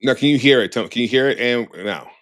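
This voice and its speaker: A man co-hosting a podcast, chatting in a sassy, slangy, animated way over a video call. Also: mid-range voice, fast talker. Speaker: podcast co-host. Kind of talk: casual chat.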